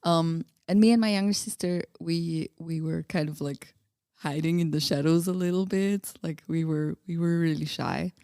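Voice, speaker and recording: A woman's voice: sits low, fairly even intonation, moderate pace, few pauses, mellow, no echo, clean studio sound. A woman from Austria, chatting informally and a little hesitantly as a guest in a casual interview. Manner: laughs easily, hesitant and informal.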